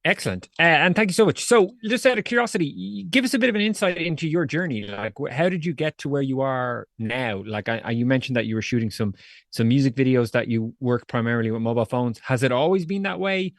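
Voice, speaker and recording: A male podcast host who asks his questions in an upbeat, friendly way. He talks fast. The recording is dry, with little room sound. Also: mid-range voice, animated, dry recording. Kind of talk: podcast interview.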